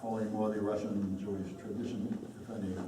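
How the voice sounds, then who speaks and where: A man's voice: lowish voice, little pitch movement, fast. Hesitant man in a very echoey room. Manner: hesitant, frequent restarts. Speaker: panel speaker, scientist. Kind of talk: casual group discussion. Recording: very echoey room, distant mic, noise.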